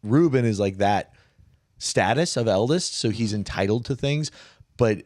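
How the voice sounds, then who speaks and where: A man's voice: on the low side, animated, a little slow, a little mellow, no room sound, studio quality. A man co-hosting a podcast, talking over Bible stories in loose casual chat, full of "like"s and trailing restarts. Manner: rambling, with many "like"s and trailing restarts. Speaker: podcast co-host.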